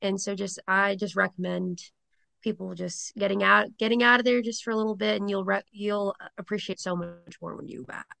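A woman's voice: mid-range voice, fairly even intonation, brisk, creaky, bright, forceful tone. A woman in her late twenties from Rhode Island, a guest chatting casually in an interview and saying um often, her Rhode Island accent faintly tinged with a Southern lilt. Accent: Rhode Island with a slight Southern tinge. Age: late 20s. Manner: chatty, with frequent ums and laughs.

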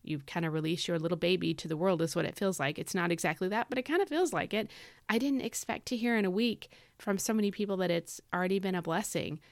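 A woman with a low, gravelly voice hosts a devotional podcast. Her voice is scratchy and worn from overuse. She talks in an earnest, chatty way with lots of "um," and the recording is close and dry. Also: fast, no echo. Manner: earnest, chatty, frequent "um" fillers. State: voice tired from overuse. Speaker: devotional podcast host and author. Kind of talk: casual devotional podcast talk.

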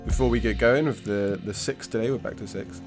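A deep-voiced man from England hosts a casual book podcast, sounding congested and scratchy-throated from a cold. He rambles in a friendly, self-deprecating way with little chuckling asides, and soft music plays faintly behind him. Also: brisk. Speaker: podcast host.

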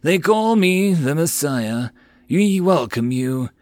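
A man's voice: on the high side, dry recording, voice pushed up. A man playing a serene young cult leader. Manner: slow, gentle, calm.

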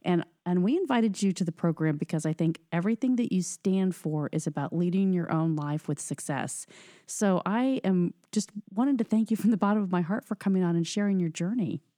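A woman hosting an interview podcast, welcoming her guest effusively and asking long, slightly halting questions. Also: fairly low pitch, dark tone, no room sound, clean studio sound. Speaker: podcast host.

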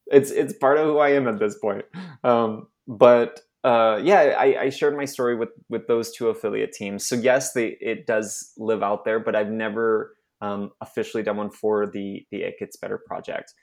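Young guy from arizona, lowish voice, lots of ums and restarts, kinda emotional. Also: steady tone, a little mellow. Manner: halting, many restarts and fillers, emotional. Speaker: nonprofit staff member, guest. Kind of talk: candid personal interview.

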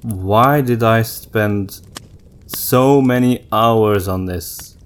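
Man talking casually, halting phrasing. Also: lowish voice, smooth. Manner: hesitant, halting phrasing with repeated words. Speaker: podcast co-host and story writer. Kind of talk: casual conversational discussion.

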